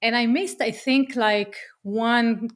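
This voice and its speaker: Energetic Israeli woman, bright and emphatic. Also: a little high, slow. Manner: energetic, emphatic, keeps asking "right?", swears casually. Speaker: podcast guest, business coach. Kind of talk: casual interview chat.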